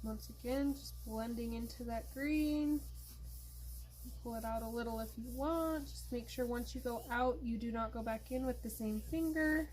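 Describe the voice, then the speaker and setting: A woman hosting an art tutorial, explaining her steps in a relaxed, chatty way while she works. She is losing her voice. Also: on the high side, moderate pace, slight background noise, poor audio. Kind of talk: casual step-by-step craft demonstration.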